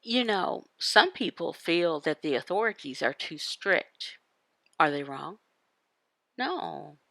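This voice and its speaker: A woman giving a devotional Bible teaching in emphatic, halting phrases, asking questions and answering them. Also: sits low, animated, vocal fry, bright, forceful tone, dry recording. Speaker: voice interpreter for a signed devotional. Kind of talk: devotional Bible teaching, voiced from sign language.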